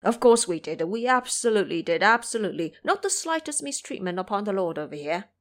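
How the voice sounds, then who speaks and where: A woman's voice: mid-range voice, lively intonation, brisk, lightly acted. Fearful monks in a fantasy audio drama, acted out by a woman. The delivery is emphatic and fawning, eager to please. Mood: anxious relief.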